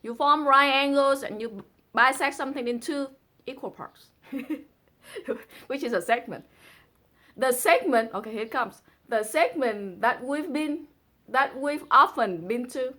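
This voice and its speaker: Bright-voiced Vietnamese woman, casual and playful. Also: sits high, a little slow. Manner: playful, giggly asides. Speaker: presenter reading a translated poem. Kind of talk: casual chatty poetry reading with asides.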